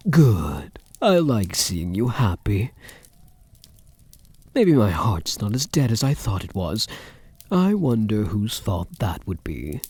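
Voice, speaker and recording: A man performs a possessive, doting vampire count in an intimate ASMR roleplay. The voice is dark and breathy, murmured slowly and slipping often into whispers, with tenderness under the menace. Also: on the low side, sing-song, no room sound, exaggerated voice. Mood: gentle, reassuring. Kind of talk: soft-spoken ASMR roleplay.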